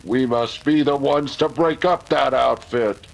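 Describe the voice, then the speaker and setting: A gravelly-edged, mid-pitched western hero, acted by a man for a radio serial. He is earnest and resolute, a masked cowboy who takes responsibility. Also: a little slow. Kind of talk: old-time radio western acting.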